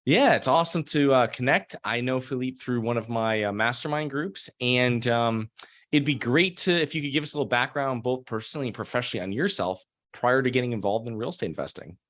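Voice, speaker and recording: A man hosting a podcast who reads a punchy ad pitch and then chats loosely with his guest. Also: fairly quick, fairly bright tone. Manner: punchy sales pitch in the promo, loose in chat. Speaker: podcast host. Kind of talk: promo read and interview hosting.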